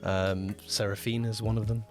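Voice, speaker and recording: A man's voice: lowish voice, level tone, normal speed, faint music behind. A man co-hosting a casual behind-the-scenes podcast as a producer, rambling and often rewording himself mid-sentence. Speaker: podcast co-host, audio drama producer-director.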